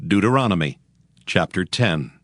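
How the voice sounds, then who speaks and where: A man's voice: low-pitched, expressive, moderate pace, a bit bright, no room sound. A man reading a scripted chapter announcement.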